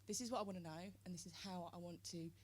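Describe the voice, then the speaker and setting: A woman on a panel describes a youth project in a flat, even tone. Her voice sits fairly low, with faint background noise. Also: fast. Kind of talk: panel discussion.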